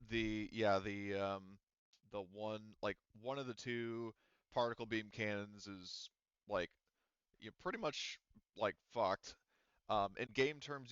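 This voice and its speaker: Man, game master, low pitch, dry close mic, dull voice-chat audio. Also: a bit bright, clean tone, no echo. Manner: rambling, lots of ums and likes. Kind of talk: casual tabletop game narration. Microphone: headset or desk mic on voice chat.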